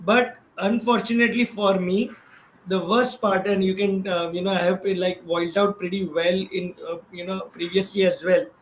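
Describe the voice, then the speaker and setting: A man's voice: high-pitched, level tone, moderate pace. A man with an Indian accent explaining things as a guest expert in a casual, conversational way, checking in as he goes. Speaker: guest expert, trading professional. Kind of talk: casual explanatory talk on stock trading. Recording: roomy echo, faint background noise.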